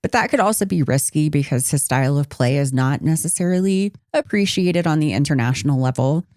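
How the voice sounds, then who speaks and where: A woman co-hosting a casual hockey podcast in a wry way, a little raspy with a winter cold. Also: sits low, animated, brisk, a little mellow, no echo, studio quality. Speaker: podcast co-host.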